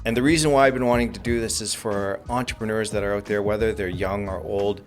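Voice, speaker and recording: Lower-voiced male podcast host. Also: fairly even intonation, faint music behind. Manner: earnest, measured, frequent "uh" fillers. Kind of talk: interview hosting.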